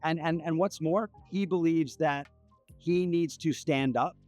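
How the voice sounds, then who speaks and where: Higher-voiced man answering with emphatic repetition. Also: unhurried, darkish tone, faint music behind. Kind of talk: spontaneous interview answer.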